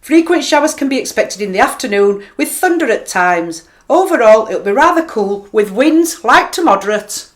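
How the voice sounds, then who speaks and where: An actress plays a 1960s radio forecaster in a slightly high voice. She reads the bulletin in a measured, official manner. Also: expressive, close to an everyday voice. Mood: formal, composed. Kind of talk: formal scripted weather bulletin.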